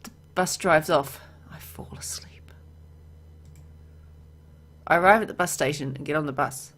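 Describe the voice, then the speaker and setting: Low-voiced woman reading a game story aloud. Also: a little slow, fairly bright tone. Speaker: gamer reading a visual novel aloud. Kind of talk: let's play narration, reading game text aloud with casual asides.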